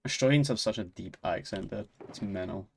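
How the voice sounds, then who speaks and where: A man's voice: lowish voice, a little fast, gravelly, a little hiss or hum. A man with a Scottish accent, chatting casually on a stream, rambling and laughing often. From Scotland. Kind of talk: casual chat while gaming and reading chat.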